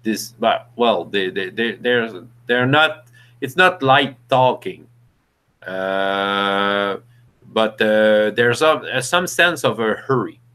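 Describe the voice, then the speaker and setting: A man's voice: lowish voice, pushed and bright, smooth. A man with French as his first language, narrating a tabletop game as game master with many restarts. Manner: halting, many restarts and fillers.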